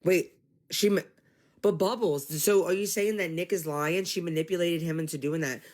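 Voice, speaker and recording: A man giving casual livestream commentary, exasperated and animated, talking straight to his viewers. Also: fairly high pitch, moderate pace. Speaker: commentary streamer.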